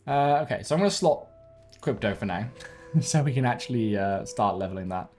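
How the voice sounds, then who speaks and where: A young man gives relaxed gameplay commentary from his home setup, sounding a little sniffly and bunged up. He thinks aloud and corrects himself as he goes, his voice at an ordinary pitch, with faint game music under him. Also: fairly quick. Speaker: game streamer. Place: home room with webcam.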